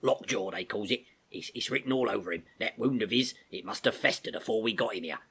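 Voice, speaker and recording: A man voicing a blunt, loyal army sergeant in a storybook, brisk and plain-spoken with rustic grammar. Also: fairly low pitch, a bit bright, husky, full character voice. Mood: grave.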